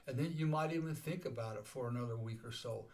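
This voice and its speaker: A man's voice: medium pitch, quick pace, vocal fry, a little mellow. A man in his sixties hosting a life coaching podcast, talking casually and often repeating words and checking "okay?". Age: 60s.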